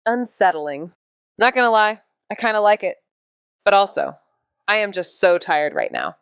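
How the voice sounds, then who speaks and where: A woman's voice: mid-range voice, big pitch swings, normal speed, creaky, clear, resonant tone, no echo, clean studio sound. A woman playing a wry, weary young amateur sleuth, bantering in casual slang for a mystery podcast promo.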